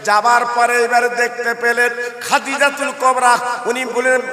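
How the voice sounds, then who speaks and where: High-pitched preacher man in heavy echo. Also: level tone, sharp tone. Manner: impassioned, plaintive, drawn-out appeals to listeners. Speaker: Islamic preacher. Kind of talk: emotive storytelling sermon, half-chanted. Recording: echoey reverberant public-address sound.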